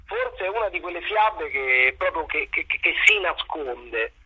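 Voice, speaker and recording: A man's voice: fairly high pitch, animated, measured pace, slightly rough, dull audio. A man speaking as a guest in a casual interview, searching for words and often repeating them. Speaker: theatre artist, interview guest.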